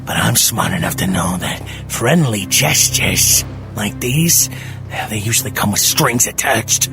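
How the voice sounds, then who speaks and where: Guy playing low gravelly hired thug, blunt n suspicious, audio drama w music behind. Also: expressive, a little slow. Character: gruff, wary hired criminal enforcer.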